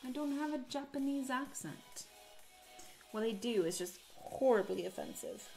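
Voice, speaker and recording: A woman's voice: sits high, lively intonation, moderate pace, creaky, a little mellow, faint music behind, rough recording. A woman casually chatting with her live-stream audience while crafting, playful and teasing as she reads chat. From United States.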